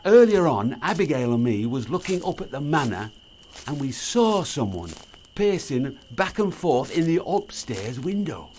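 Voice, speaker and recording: An actor playing a blunt, grumbling middle-aged British ex-miner, gruff and wary, in an audio drama. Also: male voice, a little high, big pitch swings, measured pace, a little mellow, slight background noise. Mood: matter-of-fact. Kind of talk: naturalistic audio drama acting.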